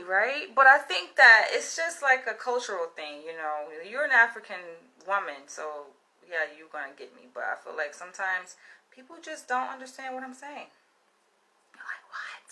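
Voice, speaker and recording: A woman's voice: medium pitch, bright, forceful tone. A young woman with a Southern American accent, chatting casually to her viewers and calling them "you guys" again and again. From Southern United States. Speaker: vlogger. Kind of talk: casual direct-to-camera chat.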